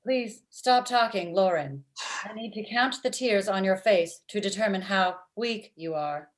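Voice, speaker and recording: A woman playing a blunt, sarcastic old-timey robot with slightly stilted, deadpan speech, reading a comedy script. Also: medium pitch, a little slow, close to an everyday voice. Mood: blunt, unfeeling. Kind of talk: table read of a comedy script. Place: indoor room at home, video call. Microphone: computer mic on video call.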